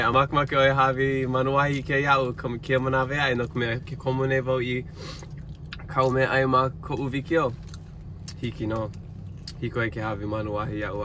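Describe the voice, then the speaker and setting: A man's voice: medium pitch, noisy background. A young man from the Big Island of Hawaii with a Hawaiian accent, chatting casually into a phone held at arm's length outdoors. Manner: easygoing, chatty, laughs between lines. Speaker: vlogger. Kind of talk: casual vlog, switching into Hawaiian. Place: outdoors in a city, by a car park.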